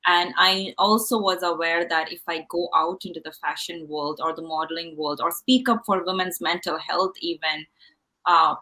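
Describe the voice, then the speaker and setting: A woman's voice: on the low side, sharp tone, low-quality recording. A woman from Kerala with an Indian accent, a native Malayalam speaker, chatting candidly and expressively as an interview guest. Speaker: talk show guest. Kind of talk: casual interview, telling personal stories.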